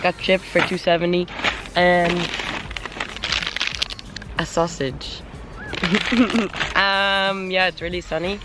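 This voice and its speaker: A hoarse young woman chatting casually in a vlog, rambling with lots of fillers, filmed outdoors on a handheld camera. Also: lowish voice, steady tone, takes it slowly, pauses often. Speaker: vlogger. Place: outdoors on a sunny day. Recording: background music playing under voice.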